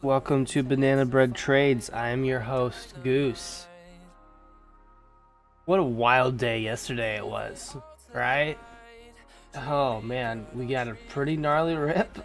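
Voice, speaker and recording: A man's voice: medium pitch, fairly even intonation, slow pace, creaky, pauses often, faint music behind. A young man hosting a live stream in casual, slangy chat, sounding stuffed-up and nasal from allergies. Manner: laid-back slangy chatter. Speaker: livestream host.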